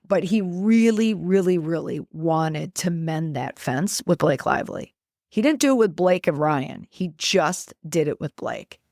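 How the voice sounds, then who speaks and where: A woman's voice: on the low side, dry recording, clean studio sound. A middle-aged woman giving opinionated podcast commentary in an emphatic way, repeating words for stress.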